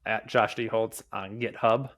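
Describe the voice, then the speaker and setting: A young adult man who stutters, speaking as a podcast guest in casual talk, with frequent fillers, pauses and restarts. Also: on the low side, steady tone, a bit bright.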